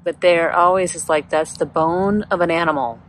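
A woman's voice: normal speed, a little hiss or hum. A woman vlogging with a handheld phone in her backyard chicken run, chatting casually and talking to her hens. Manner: chatty, talks to her hens in a high playful voice. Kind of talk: casual first-person vlog narration. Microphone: handheld phone camera, speaker off screen.

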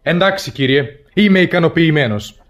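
Man acting a lively, suave young nobleman. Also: fairly high pitch, lightly acted. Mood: courteous. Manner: courteous, formal. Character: suave, smoothly polite young aristocratic gallant. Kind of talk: classic comedy radio theatre acting.

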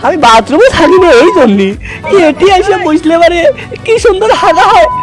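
A man voicing a cheeky, cowardly truant schoolboy in a comic cartoon, whiny and exclaiming with drawn-out sighs in a Bogra accent. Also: very high-pitched, very animated, music in the background. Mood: blissful, sly. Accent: Bogra, northern Bangladesh. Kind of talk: comic cartoon dubbing in rural dialect.

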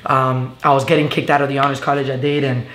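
A young man from Venezuela, a guest telling his story in a casual interview, earnest and halting with many fillers, sitting on a living room couch. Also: medium pitch, level tone, a bit bright. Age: young adult. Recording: echoey room with faint hiss.

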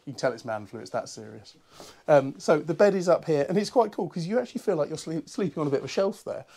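A man, a bit hoarse from a cold, chatting through a walkthrough tour of a barge. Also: on the high side, animated, fast, mellow. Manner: chatty and upbeat, many ums. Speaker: boat broker. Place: inside a barge, small cabin rooms.

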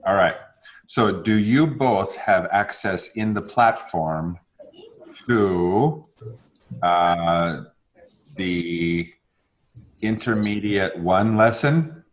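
A man's voice: deep voice, extremely slow, pauses often, rough recording. A man in his fifties leading a casual bilingual language session on a video call from a home room, mixing English and Spanish and correcting himself as he goes. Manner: mixes English and Spanish, relaxed, self-correcting. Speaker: language exchange facilitator. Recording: faint noise on a video call.

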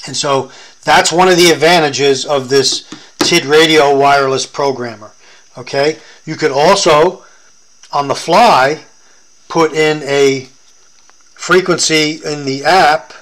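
A man's voice: fairly high pitch, slow, a bit bright, low-quality recording. An American man demonstrating a product in a casual hands-on tutorial, explaining informally step by step.

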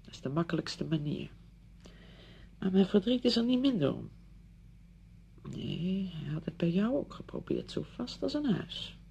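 An actress in a radio play voicing a suspicious, bitter betrayed wife who talks in an agitated way. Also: female voice, fairly low pitch, very animated, unhurried, creaky, pauses often, warm tone, slight background noise, exaggerated voice. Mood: bitter, pained. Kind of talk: radio drama acting.

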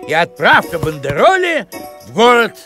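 A man's voice: sits high, huge pitch swings, moderate pace, bright, forceful tone, soft background music, full character voice. A man voicing an officious, pedantic village postman in a cartoon, fussy and bureaucratic. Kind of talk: cartoon voice acting.

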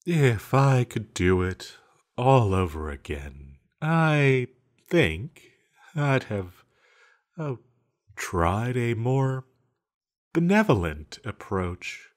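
A man playing a banished sorcerer villain, sly, seductive and scheming, speaking in a teasing, theatrical manner to the listener in an audio roleplay. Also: sing-song. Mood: feigned regret. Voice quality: low-pitched. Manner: slow, teasing, theatrical, with lingering pauses.

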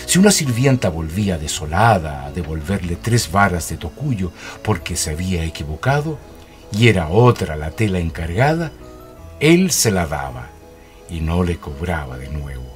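A radio drama narrator with a very deep voice. His scripted storytelling moves expressively up and down over faint music. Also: big pitch swings, moderate pace. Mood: wry, storytelling. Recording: music behind the voice.

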